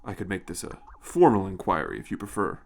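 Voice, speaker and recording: Guy doing a hard-nosed army colonel, lower voice, clipped and suspicious, audiobook. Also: big pitch swings, fairly quick, vocal fry, darkish tone, exaggerated voice. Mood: coolly interrogating. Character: stern, suspicious male military colonel, outraged interrogator.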